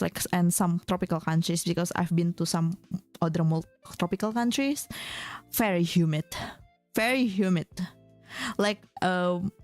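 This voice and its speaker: A young woman chats casually on her stream, her voice sitting a little low for a woman. She keeps restarting sentences and leaning on "like" while she talks to her viewers. Faint music plays quietly behind her. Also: creaky. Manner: chatty, many fillers and restarts, reads chat aloud. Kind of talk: casual chat with chat readers. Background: faint background music.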